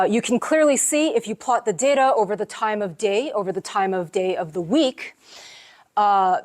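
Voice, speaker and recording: Woman explaining research in a seminar. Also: medium pitch. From United States. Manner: relaxed, explanatory, with frequent fillers. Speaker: professor giving a seminar. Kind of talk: academic seminar lecture.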